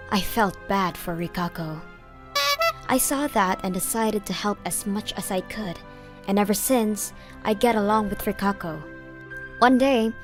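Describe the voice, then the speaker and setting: A woman reading scripted first-person story narration for a dubbed comic. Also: medium pitch. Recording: music behind the voice.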